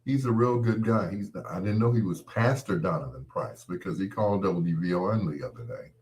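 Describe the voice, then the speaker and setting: A man with a fairly low voice talks as a show host in casual, rambling chat. He is folksy and playful, telling things as loose anecdotes addressed straight to his listeners. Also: brisk, a little mellow. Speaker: host of a late-night show.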